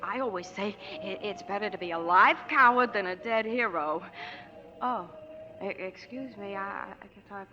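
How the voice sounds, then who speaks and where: An actress playing a fretful, defiant, boozy gangster's moll, needy and wheedling, in a 1940s radio drama. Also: female voice, medium pitch, normal speed, lightly acted. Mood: tipsy bitter. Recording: dull old 1940s radio recording.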